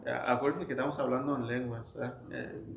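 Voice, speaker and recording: A man's voice: mid-range voice, steady tone, fairly quick, vocal fry, a little hiss or hum. A man teaching a church Sunday school class, telling anecdotes conversationally with frequent restarts. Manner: storytelling, conversational, with many restarts and quoted anecdotes. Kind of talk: informal Bible class teaching. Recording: echoey church room, faint ambience.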